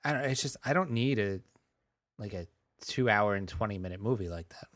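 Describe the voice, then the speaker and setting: A man co-hosting a podcast in casual chat, answering with dry, deadpan quips. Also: on the low side, fairly quick, no room sound. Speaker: podcast co-host.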